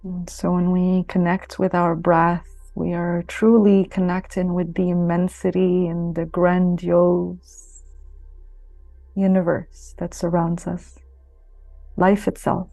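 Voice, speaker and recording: Slow, mellow woman guiding meditation. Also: fairly low pitch, completely flat delivery, creaky, no room sound. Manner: soothing, unhurried, with long pauses. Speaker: yoga nidra guide. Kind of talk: guided meditation. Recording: close dry voice over soft music. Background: soft music.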